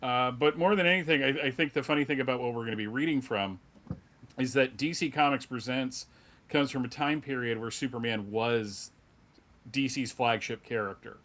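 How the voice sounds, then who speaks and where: A middle-aged man speaking casually as a fan panelist, in a halting, rambling, wry way. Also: medium pitch, fairly bright tone.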